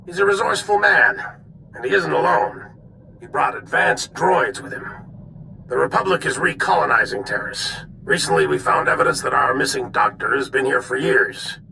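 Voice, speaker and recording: An actor playing a brisk Republic military commander in a video game, giving clipped, authoritative briefings. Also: male voice, medium pitch, lively intonation, creaky, sharp tone, a little hiss or hum, full character voice, rough recording. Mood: businesslike urgency.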